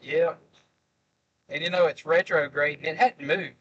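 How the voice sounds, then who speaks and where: A man's voice: a little high, steady tone, unhurried, a bit bright, highs cut off. A young man chatting casually and joking with passengers while driving, hosting a storm chasing livestream. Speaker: storm chaser host. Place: inside a car.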